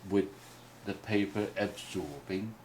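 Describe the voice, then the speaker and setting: A man whose first language is Mandarin, teaching a painting workshop in a halting way with many restarts. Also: lowish voice, a little mellow. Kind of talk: hesitant workshop explanation. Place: indoor workshop room. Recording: distant mic with faint noise.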